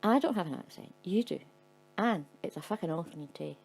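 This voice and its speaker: A woman's voice: fairly low pitch, lively intonation, fairly quick, creaky, darkish tone, husky, heavily acted. A woman voicing a foul-mouthed, grumpy Scottish woman tourist with a Scottish accent. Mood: irritated. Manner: swearing, cross and blunt.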